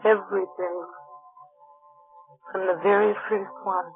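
A woman's voice: mid-range voice, little pitch movement, a little slow, breathy edge. A woman with an American accent playing a young, intense, wounded wife in a theatrical, emotional old-time radio drama. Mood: bitter, grieving. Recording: tinny old radio recording, faint music.

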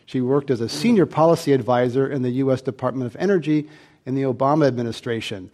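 A man hosts a panel discussion on stage before a live audience. He reads his introductions in a clear, measured way. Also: medium pitch, warm tone. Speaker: host.

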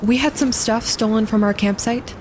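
An actress playing an earnest, composed wilderness photographer on expedition, matter-of-fact and measured, in a naturalistic audio drama. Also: female voice, close to an everyday voice. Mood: concerned. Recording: clear outdoor ambience and rumble.

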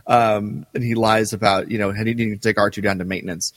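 Lowish-voiced man in reverberant podcast chat. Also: fairly even intonation, fairly quick, a bit bright. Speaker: podcast co-host. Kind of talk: casual fan discussion.

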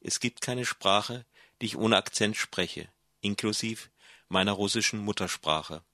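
Guy reading translated voice-over, calm matter-of-fact, a bit low, dry sound. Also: measured pace, no room sound.

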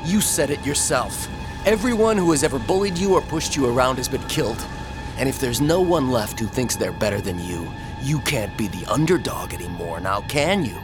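A vengeful, accusing American man played by an actor in a horror radio play. His mid-range voice is cold and deliberate, with a taunting edge. Music plays clearly behind him. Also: mid-range voice, lively intonation, close to an everyday voice. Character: vengeful son of a slain detective, cold, accusing. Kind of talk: horror radio drama acting. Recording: music bed under the voice.

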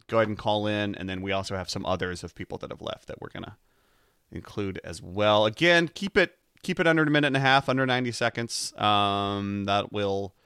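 A man with a fairly low voice chats as a podcast co-host. He explains his thinking in stops and starts, often breaking off to rephrase. The sound is dry and close, with very little room. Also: a bit bright, clear, resonant tone, dry recording. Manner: explanatory, halting and self-interrupting. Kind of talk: casual podcast chat. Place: close mic in a dry room, recorded over video chat.

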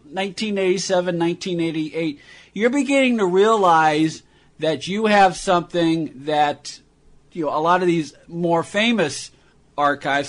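An American man hosting a radio program, introducing and interviewing in a prepared style. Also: a little high. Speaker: radio show host.

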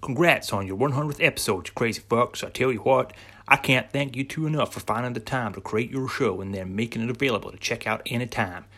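A man's voice: mid-range voice, fast talker, bright, forceful tone. A man putting on an American accent, close to Texan, to voice an enthusiastic adult male listener reading out folksy fan mail. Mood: cheery. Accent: put-on American, closer to Texas.

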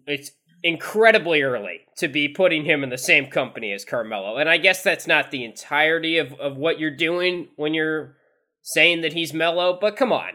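Brief: man, podcast co-host, easygoing chat, bright tone, slightly raised pitch. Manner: conversational, easygoing, laughs along. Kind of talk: casual sports talk.

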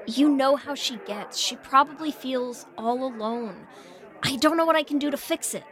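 A woman's voice: sits high, moderate pace, a bit bright. A woman playing an earnest, worried best friend in an audio drama. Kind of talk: naturalistic audio drama acting.

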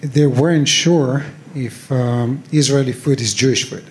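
A man who runs a kitchen with employees, speaking haltingly with many pauses on a live panel. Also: mid-range voice, steady tone. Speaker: chef with employees. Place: restaurant with a live audience.

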